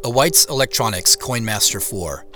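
A man playing an earnest, curious young man who narrates his own mystery in an audio drama, measured and sometimes hesitant. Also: medium pitch, expressive, music in the background, close to an everyday voice. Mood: solemn, determined. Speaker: writer and lead actor. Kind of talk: first-person audio drama narration and dialogue.

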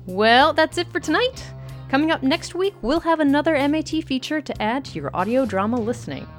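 Lively woman host, fairly high voice. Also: fairly quick. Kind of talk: scripted podcast outro. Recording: faint music behind.

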